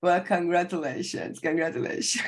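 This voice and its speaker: A woman's voice: lowish voice, moderate pace. A woman interviewing a guest, encouraging him and laughing often. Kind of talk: interview questions.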